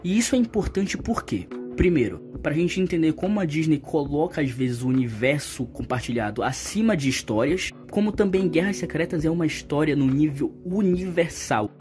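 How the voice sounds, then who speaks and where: A man's voice: fairly high pitch, lively intonation, normal speed, few pauses. A man with a Brazilian Portuguese accent delivering scripted opinion commentary to viewers as a video host. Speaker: YouTube video essay host. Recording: background music under the voice.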